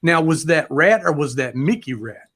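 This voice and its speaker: A man hosting a live music chat, talking casually and haltingly with loose swearing. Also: fairly high pitch, expressive, a bit bright. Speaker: host of a live music talk show.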